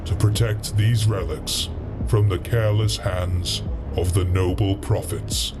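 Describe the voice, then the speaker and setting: A man's voice: low-pitched, fairly even intonation, measured pace, noisy background. A man playing a proud, menacing alien shipmaster in a sci-fi audio drama, solemn and full of contempt. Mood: imperious, scornful. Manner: solemn, imperious, contemptuous of humans. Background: music.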